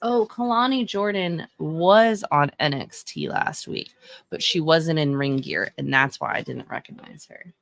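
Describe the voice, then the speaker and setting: A woman's voice: low-pitched, a little slow, vocal fry, fairly bright tone, no echo. A woman in her thirties with a Texan accent, giving chatty, opinionated live-stream commentary and often correcting herself. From Texas. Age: 30s. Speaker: streamer reviewing wrestling fashion.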